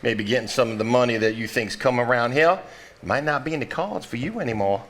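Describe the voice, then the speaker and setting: A folksy heir with a medium-pitched voice. He is plain-spoken and sardonic, with a wry turn to his phrases. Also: a little fast, fairly bright tone. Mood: scornful. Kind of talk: live stage play acting.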